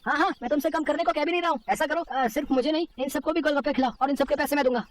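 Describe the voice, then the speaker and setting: An actor playing an eager, chatty, good-hearted young male customer in a cartoon moral story, with an excitable manner. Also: high voice, very fast, a little hiss or hum, close to an everyday voice. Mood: breezy, confident. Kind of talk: animated Hindi moral story dialogue.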